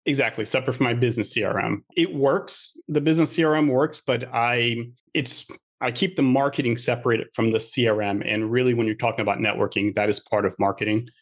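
A man's voice: mid-range voice, brisk, few pauses. A middle-aged man from Queens, New York, whose first language is French, telling his story as a guest in a casual interview, chatty and joking. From Queens, New York, USA. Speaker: podcast guest.

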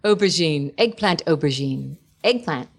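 A woman's voice: sits low, lively intonation, measured pace, husky. A woman voice actress chatting playfully in a casual interview, laughing often. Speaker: voice actress, guest.

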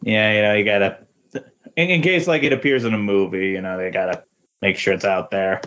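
Lowish-voiced man in halting casual chat. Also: fairly bright tone. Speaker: podcast guest. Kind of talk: casual chat about comic books. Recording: dull, lo-fi recording.

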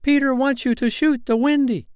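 Man doing a shrill, spiteful little fairy. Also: steady tone, moderate pace, a little mellow, smooth, no room sound, studio quality. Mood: spiteful, urgent. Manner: urgent, bossy.

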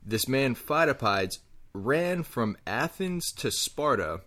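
A man's voice: mid-range voice, very animated, slow pace, bright, forceful tone. A man on a home podcast, casually telling a history story full of ums and hedges. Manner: rambling, hedging storyteller with many ums. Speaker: podcast co-host. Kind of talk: casual chat.

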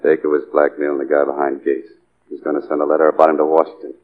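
A man's voice: extremely low pitch, completely flat delivery, very fast. A man acting a cold, bitter hired gunman on the run in a 1940s radio drama, with terse, tough street talk. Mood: stubborn. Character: hunted hired gunman, cold, bitter hard-boiled loner. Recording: muffled old radio broadcast.

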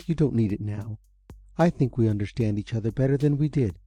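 A man's voice: medium pitch, brisk, warm tone, faint music behind, close to an everyday voice. A man voicing an eccentric, earnest older male inventor, speaking in a raised, pleading and then eager voice. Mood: firm.

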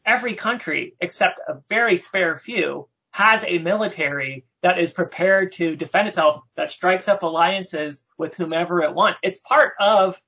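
Guy on a panel pushing his point hard, raspy gravelly voice, kinda high, lo-fi sound. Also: moderate pace, hardly any pauses, sharp tone. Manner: emphatic, argumentative, seeks agreement with "right?". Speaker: panel guest. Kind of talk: panel discussion.

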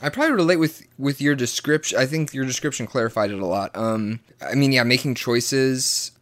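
A man's voice: mid-range voice, a little fast, fairly bright tone. A man in his late twenties talking in a casual chat, rambling and often restarting his words, with sarcastic asides. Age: 20s. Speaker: guest. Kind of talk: casual conversation.

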